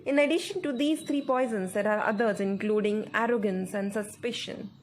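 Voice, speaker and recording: A woman narrating a scripted guided meditation in a measured, instructive way. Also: medium pitch. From India. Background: background music.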